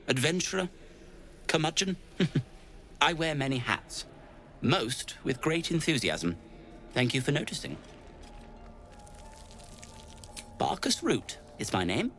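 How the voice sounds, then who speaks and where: A curmudgeonly gnome adventurer for a video game, bright and forceful. His delivery is wry and theatrical, swinging up and down with dramatic pauses, over faint music. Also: on the high side. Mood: proud, wry. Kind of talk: video game character acting.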